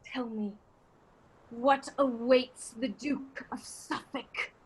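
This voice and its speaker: A high, slow female voice from an actress gives us a proud noblewoman in a Shakespearean stage reading. She is ambitious and defiant, weighing each line of verse as if casting a spell. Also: steady tone, breathy edge, exaggerated voice, rough recording. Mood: commanding. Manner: deliberate, incantatory, swinging between hushed and declaimed. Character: ambitious, defiant noblewoman conjuring spirits, a duke's wife.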